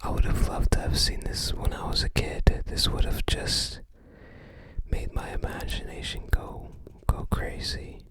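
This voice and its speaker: An ASMR host speaking entirely in a breathy whisper, gently musing aloud in unscripted commentary with trailing phrases. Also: unhurried. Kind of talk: ASMR, unscripted commentary while browsing a game guide book.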